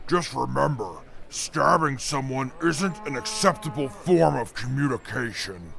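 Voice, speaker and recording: A haughty super mutant for an audio drama, with a heavily gravelled voice. He speaks slowly and formally, like a bookish creature sure that he is above everyone around him. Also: mid-range voice, animated, fairly bright tone. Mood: condescending. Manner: pompous, formal diction.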